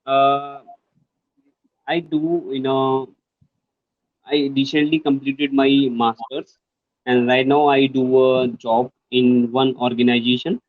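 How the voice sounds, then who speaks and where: A man's voice: mid-range voice, little pitch movement, takes it slowly, long pauses, dry recording, phone-quality sound. A young man from India with an Indian accent, learning English and talking hesitantly with many fillers. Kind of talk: casual conversation lesson.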